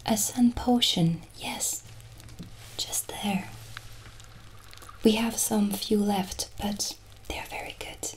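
A woman speaking in a soft, breathy whisper, playing a gentle witch shopkeeper in an ASMR roleplay. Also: mid-range voice. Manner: slow, gentle, hushed. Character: soft-spoken witch selling potions and crystals. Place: small dark candlelit indoor set. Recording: clearly audible ambient background noise.

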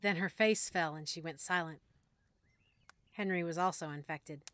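A woman narrating a first-person audiobook story in a measured, emotional way that sometimes drops to a hush. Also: fairly low pitch, no echo. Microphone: close studio mic.

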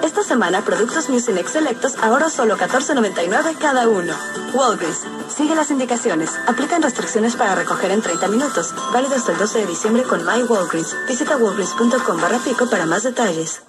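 A female announcer reads a scripted retail ad. Her voice is fairly high, over a clear music bed. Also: lively intonation, brisk. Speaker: commercial announcer.

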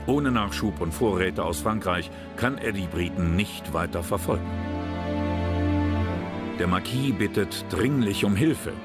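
A man's voice: low voice, animated, unhurried. A man narrating a history documentary from a script. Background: music.

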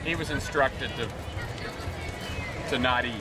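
A man's voice: mid-range voice, steady tone, a little slow. A man emceeing an outdoor pizza-eating contest at a county fair in an upbeat announcer's manner. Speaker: pizzeria owner and event emcee. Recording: loud fair crowd and music behind.

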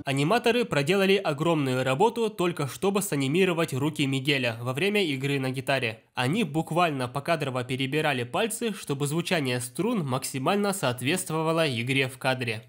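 A man giving scripted voice-over commentary on an animated film, explaining scenes with enthusiasm. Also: on the high side, fairly quick, few pauses, faint music behind. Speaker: film review blogger.

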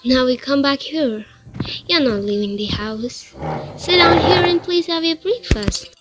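A compassionate young daughter in a radio play, acted by a woman. She has a high voice and takes her words very slowly. Her manner is polite and earnest. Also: lively intonation, very noisy. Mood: gently hospitable. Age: young adult. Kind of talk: amateur student radio drama acting.

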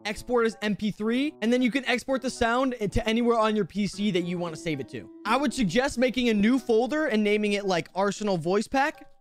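A man's voice: high-pitched, a little fast, few pauses. A young adult man, an upbeat, chatty YouTuber presenting a casual tutorial into a close desk microphone. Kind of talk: scripted-casual tutorial vlog. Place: bedroom. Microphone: close boom-arm desk microphone. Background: background music.

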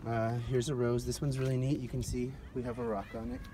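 A man talks casually and off the cuff, like a gardener showing someone around a yard. His voice sits at an average pitch. It is a handheld phone recording made outdoors, with very loud background noise. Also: level tone, a little fast. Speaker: gardener giving a yard tour. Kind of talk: casual unscripted walk-through narration. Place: outdoors in a residential front yard, walking. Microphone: handheld phone held by the speaker.

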